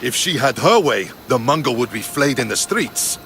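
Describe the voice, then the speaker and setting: A man playing a principled, measured Spartan soldier in dramatic video game dialogue. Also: mid-range voice, animated, creaky, fairly bright tone, slight background noise.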